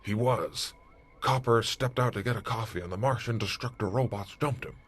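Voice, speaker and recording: Man acting a low, husky space commander. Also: moderate pace. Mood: callous, dismissive. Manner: clipped, military, matter-of-fact. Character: blunt, callous male space-force commander. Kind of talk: retro sci-fi radio drama acting.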